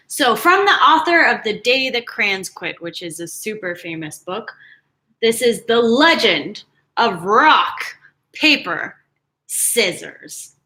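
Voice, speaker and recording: A woman teacher reading picture books aloud to children live, animated and dramatic, with casual chatty asides. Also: medium pitch. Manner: animated, dramatic storytelling mixed with casual commentary.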